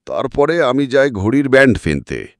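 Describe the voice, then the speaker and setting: A man's voice: medium pitch, very animated, moderate pace, no echo, clean studio sound, pitched above the performer's own voice, natural voice. A man voicing an indignant, evasive suspect in an audio story, defensive, flustered and emphatic. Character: indignant, evasive male suspect protesting his innocence. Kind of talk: dramatic audiobook character reading.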